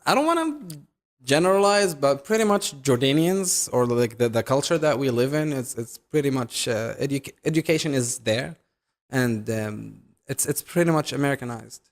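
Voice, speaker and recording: A young man from the Middle East, a native Arabic speaker, hosting a casual chat with quick talk and many restarts and fillers. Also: mid-range voice, animated. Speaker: YouTuber host.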